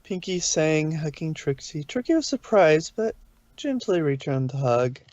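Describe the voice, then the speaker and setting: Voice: mid-range voice, big pitch swings, measured pace, a little mellow, no echo. Dry, muffled storybook narrator. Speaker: narrator, fan fiction reader. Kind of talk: storybook narration read aloud.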